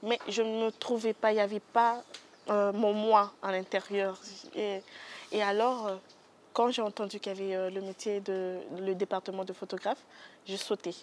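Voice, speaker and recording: A young woman with a Congolese French accent answers interview questions in a studio. She speaks softly and hesitantly, filling pauses as she goes. Faint background noise runs under the recording. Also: mid-range voice, flat delivery. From Democratic Republic of the Congo. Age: young adult. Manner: hesitant, many fillers, soft-spoken. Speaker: guest photographer.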